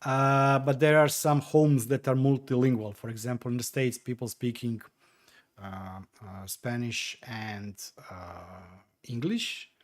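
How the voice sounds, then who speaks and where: A man's voice: mid-range voice, unhurried. Chatty man streaming from home. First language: Croatian. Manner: hesitant, chatty, many fillers and restarts while tinkering. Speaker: livestream host. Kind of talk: casual livestream chat, answering viewer comments.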